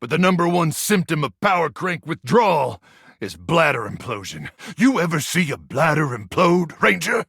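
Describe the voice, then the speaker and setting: A man voicing a frazzled, paranoid soldier microwave in a video game, gravelly and intense, full of awkward military bluster. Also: medium pitch, a bit bright, no room sound, studio quality, full character voice. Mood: apologetic, frazzled. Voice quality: gravelly, raspy. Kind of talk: animated video game voice acting.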